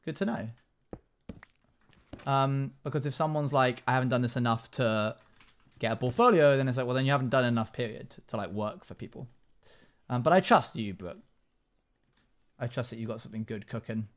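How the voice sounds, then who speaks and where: A man's voice: mid-range voice, brisk, long pauses. A man in his twenties giving casual, chatty commentary on a game stream, reading out chat messages and replying. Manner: chatty, reads chat messages aloud and answers. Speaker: live streamer. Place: small home room, indoors.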